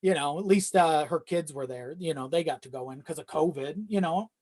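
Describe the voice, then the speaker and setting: Podcast guest for casual conversation: mid-pitched voice, self-deprecating, loose and profane. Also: brisk, darkish tone. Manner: informal, sweary, self-deprecating. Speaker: video editor.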